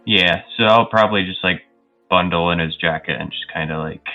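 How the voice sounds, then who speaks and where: A man's voice: deep voice, brisk. A man playing a tabletop roleplaying game, casually and tentatively describing what his character does. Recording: dull lo-fi audio, music underneath. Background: music.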